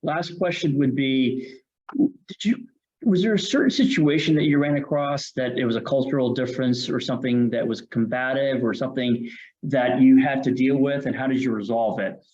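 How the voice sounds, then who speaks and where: A man's voice: medium pitch, moderate pace, darkish tone. A man moderating a student question session over a video call, upbeat and thankful, with stumbling restarts. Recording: dull lo-fi video call audio.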